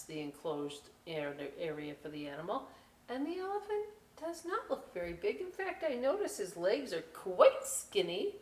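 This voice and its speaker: A woman presenting a children's story time, reading aloud with chatty, animated, folksy asides straight to young viewers. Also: on the low side. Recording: roomy, distant mic with faint hiss.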